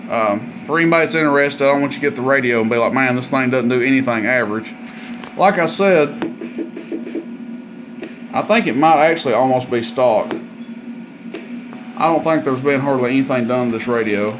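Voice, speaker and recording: A man's voice: medium pitch, a little fast, pauses often, background noise. A man giving a casual, hands-on radio equipment demonstration in a plain-spoken, folksy, informal way. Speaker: product demonstrator and seller.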